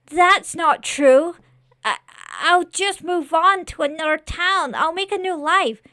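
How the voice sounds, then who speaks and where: A woman playing a high-voiced, desperate, naive monster. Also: unhurried, a bit bright, no room sound, studio quality. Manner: earnest, pleading, emotional. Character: naive, remorseful living scarecrow creature, desperately attached.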